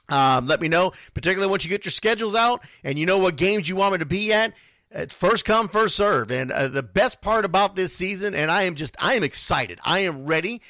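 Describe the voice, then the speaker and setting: A man's voice: fairly high pitch, pushed and bright, dry recording, studio quality. A man hosting an informal youth sports radio show, talking bluntly and emphatically and repeating phrases for stress. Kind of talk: informal sports talk monologue.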